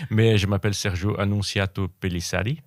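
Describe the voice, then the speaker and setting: A very low-pitched man speaks French as a guest on a podcast. He talks in a halting way, repeating words as he looks for the right ones. His voice sounds close and dry. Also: steady tone, no echo. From Brazil. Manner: halting, repeats words while searching for them. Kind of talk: casual interview conversation.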